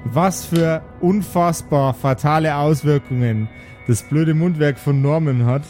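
A man's voice: on the high side, a little slow, a little mellow, faint music behind. A man hosting a podcast casually, jokey and playful as he reads out names and addresses listeners. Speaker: podcast host and player of an improvised tabletop role-playing show. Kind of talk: casual podcast outro, reading out supporter names.

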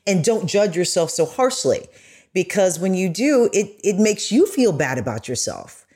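A woman's voice: fairly low pitch, sing-song, normal speed, warm tone, breathy edge. A middle-aged woman, a journalist guest, speaking earnestly and emphatically in a conversational interview.

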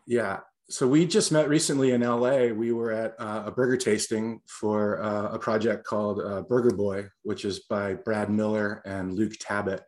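A man from Los Angeles hosting a podcast interview, talking in a halting way with frequent pauses. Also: lowish voice, fairly even intonation, darkish tone. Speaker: podcast host.

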